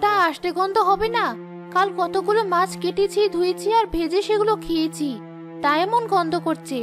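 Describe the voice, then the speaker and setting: A woman's voice: extremely high pitch, fairly even intonation, moderate pace, music in the background. A woman voicing a greedy, sly, snappish female ghost in a Bengali cartoon story. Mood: irritable, defiant. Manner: snappish, irritable, scolding.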